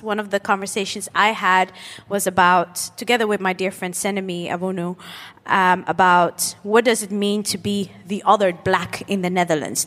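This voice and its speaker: A woman's voice: mid-range voice, a bit bright. A woman from Ethiopia hosting a panel discussion, talking thoughtfully with many hesitations and restarts. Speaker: host and programmer. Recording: reverberant hall.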